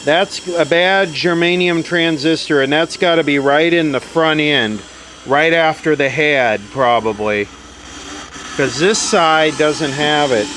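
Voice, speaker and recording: A man thinking aloud in a dry, grumbling, low-key way as he does hands-on repair commentary. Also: slow pace. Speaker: host, electronics repairer. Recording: clear background noise and hiss.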